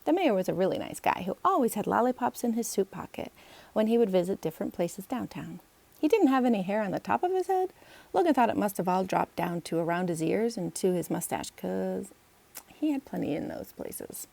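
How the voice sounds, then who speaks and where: A woman's voice: medium pitch, animated, brisk, gravelly, warm tone. A woman, the author, reading her children's book aloud as a storybook narrator.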